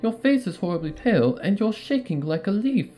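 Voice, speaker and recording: Guy putting on a worried ladylike pony, pitched way up, fretful questions, storybook reading with music. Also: sing-song, a little fast, mellow. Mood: nervous concern. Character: worried, refined female pony friend, gently probing.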